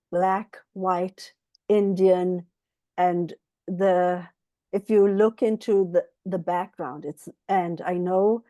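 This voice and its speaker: Woman, from Zimbabwe, slow delivery, soft mellow tone, reflective guest talk, candid, halting. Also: medium pitch, dry recording. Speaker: guest author and speaker. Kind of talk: reflective personal account at a book club talk.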